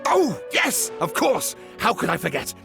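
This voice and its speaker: Grandiose showman writer; gravelly, wildly swooping delivery, slightly high. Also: wildly swooping pitch, a little slow, heavily acted. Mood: theatrical. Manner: grandiose, teasing, theatrical. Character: theatrical showman writer, male adult, dramatic gossip. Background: game music.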